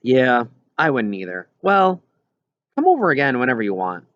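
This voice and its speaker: A man's voice: medium pitch, very animated, moderate pace, fairly bright tone, dry recording, pitched above the performer's own voice. A man voicing a loyal, eager young boy who is questioning and sympathetic in an audiobook. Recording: dull, lo-fi recording.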